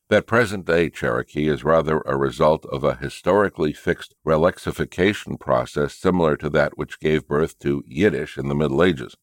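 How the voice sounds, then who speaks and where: A man with an extremely deep, low voice narrates a nonfiction book chapter. The delivery is scripted read-aloud narration, and the recording is dry and close, free of room sound. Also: no room sound. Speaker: audiobook and podcast narrator.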